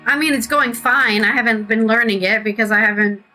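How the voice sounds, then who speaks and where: A woman's voice: a little high, brisk, bright, forceful tone, poor audio. A woman casually commenting on a game she is playing and reading its text aloud, chatty and jokey. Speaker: game streamer. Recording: voice over game music.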